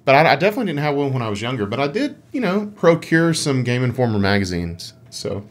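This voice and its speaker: A man co-hosting a gaming podcast in casual chat, with an animated, jokey way of talking. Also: mid-range voice, fast.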